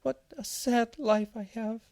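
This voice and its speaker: A man voicing a small, anxious, naive seed in a thin, squeaky, childlike voice for a children's storybook. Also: unhurried, vocal fry, no echo, exaggerated voice. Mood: whimpering, woeful. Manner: whimpering, excitable, earnest.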